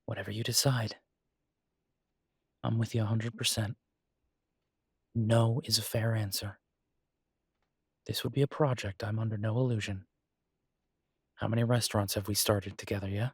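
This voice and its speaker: A man playing a devoted, easygoing chef and reassuring partner in an intimate audio roleplay, speaking softly and haltingly with a husky voice. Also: on the low side, fairly even intonation, no room sound, studio quality. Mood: tender, reassuring.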